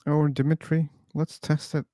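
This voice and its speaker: A man's voice: a little high, little pitch movement, moderate pace, dark tone, no echo, studio quality. A man hosting a live discussion stream in casual chat, easygoing, often laughing and restarting words.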